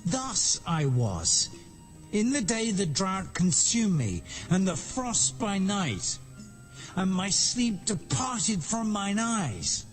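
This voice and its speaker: Man acting an indignant shepherd, slow and sweeping. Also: high-pitched, sing-song, faint music behind, full character voice. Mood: indignant, aggrieved. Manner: declamatory, archaic diction. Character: aggrieved, indignant male shepherd pleading his case. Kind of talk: dramatized scripture acting.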